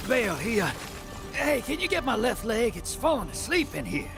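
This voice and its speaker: An actor playing a wisecracking, roguish smuggler in a sci-fi video game, talking in a glib, colloquial way. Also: male voice, very high-pitched, very animated, normal speed, exaggerated voice. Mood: cheeky, relieved. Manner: glib, cheeky, loose and colloquial. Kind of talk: video game character dialogue. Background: game music.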